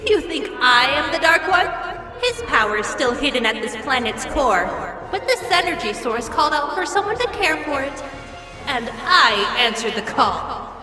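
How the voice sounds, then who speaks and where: A woman voicing a young female hedgehog possessed by dark energy, squeaky and theatrical in an audiobook drama. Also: big pitch swings. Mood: gloating. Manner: theatrical, drawn-out gloating. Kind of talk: fan audiobook voice acting. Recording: echoey hall reverb, music underneath.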